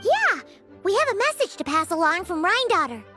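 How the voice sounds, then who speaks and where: A woman voicing a tiny, chirpy, childlike fairy companion in an anime-style game, squeaky and perky. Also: sing-song, moderate pace, bright, forceful tone, faint music behind, exaggerated voice. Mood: chatty. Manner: perky, refers to herself in third person.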